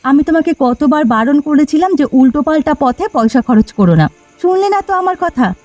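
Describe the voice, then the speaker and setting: A woman voicing a high, scolding village woman. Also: expressive, brisk, a little mellow, dry recording, highs cut off, soft background music. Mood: scolding, angry. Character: scolding, angry village woman, shopkeeper's accomplice. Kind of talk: Bengali fairy-tale cartoon dialogue.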